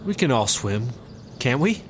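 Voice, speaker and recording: A man's voice: medium pitch, very animated, unhurried, a little hiss or hum. A man playing an anxious, earnest leader of misfit travelers in a sci-fi comedy audio drama, hesitant and pleading. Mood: uncertain.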